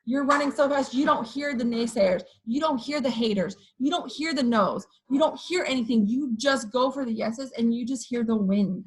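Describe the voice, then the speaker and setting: A woman with a hoarse voice giving an energetic motivational pep talk to her sales team, often checking in with "okay" and "you guys". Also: a little high, moderate pace, hardly any pauses. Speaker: direct sales team leader.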